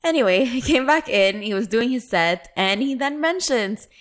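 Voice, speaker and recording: A woman's voice: a little high, expressive, moderate pace. A woman telling stories in a casual, candid and playful way, acting out bits of quoted dialogue. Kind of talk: casual conversational storytelling.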